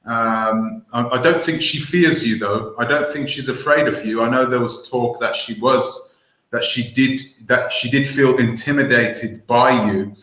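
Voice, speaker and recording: A man hosting a live call-in stream, speaking hesitantly with many pauses and "um"s. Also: mid-range voice, sharp tone, echoey room, poor audio. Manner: hesitant, lots of "um" and restarts.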